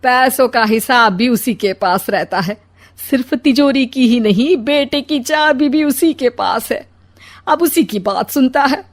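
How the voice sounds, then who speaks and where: A woman's voice: high voice, measured pace. A woman voicing a jealous, bossy older mother-in-law in a comic cartoon story, complaining dramatically. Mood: complaining, plotting.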